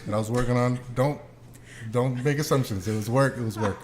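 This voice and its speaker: A man's voice: mid-range voice, a little fast, noisy background. A man chatting casually as a podcast co-host, joking and slangy in a conversational way. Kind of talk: casual chat.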